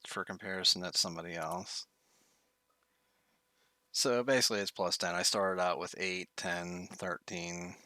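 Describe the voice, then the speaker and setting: A man's voice: low voice, pushed and bright, clear, resonant tone, no room sound. A man streaming a game tutorial from his bedroom, thinking aloud with many fillers, his voice getting tired.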